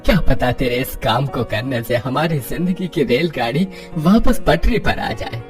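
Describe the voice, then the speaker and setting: A man's voice: medium pitch, expressive, moderate pace, over music, close to an everyday voice. A man voicing a poor elderly father-in-law in a cartoon family story. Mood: encouraging. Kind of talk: scripted cartoon story dialogue.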